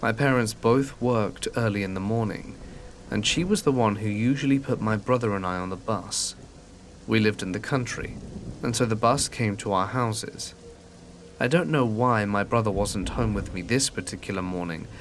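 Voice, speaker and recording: A man calmly reading true scary stories aloud in an even, scripted storytelling manner. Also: lowish voice, a little hiss or hum. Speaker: narrator of submitted stories. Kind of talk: calm scripted horror narration. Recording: faint rain ambience behind.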